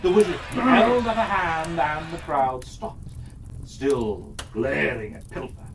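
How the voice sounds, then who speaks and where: A storyteller narrating a children's fairytale in a theatrical way, pausing often within phrases. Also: medium pitch, distant mic, noisy background.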